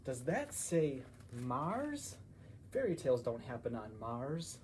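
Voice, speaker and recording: A man's voice: medium pitch, huge pitch swings, slow pace, a little mellow, a little hiss or hum. Man playing a puzzled, questioning little girl. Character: brave but puzzled little storybook girl.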